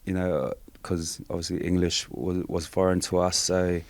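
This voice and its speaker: A Samoan-born man, a Samoan speaker, answering interview questions softly and hesitantly with many fillers. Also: low-pitched, little pitch movement, moderate pace, dry recording. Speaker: guest.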